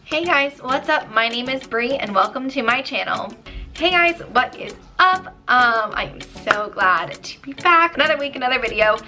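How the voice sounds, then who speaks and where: A woman in her twenties from New Jersey, slightly hoarse and losing her voice, telling a story in a chatty vlog full of ums and likes. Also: high voice, lively intonation, moderate pace, over music. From New Jersey, USA. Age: 20s. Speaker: YouTuber.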